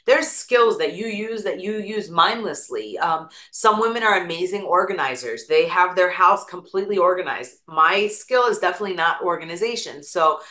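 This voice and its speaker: A woman with an East Coast American accent and a low, bright, forceful voice, chatting as an interview guest. She talks casually, piling up examples and personal stories. The room around her is echoey. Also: lively intonation, normal speed, hardly any pauses. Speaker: guest, attorney and real estate broker.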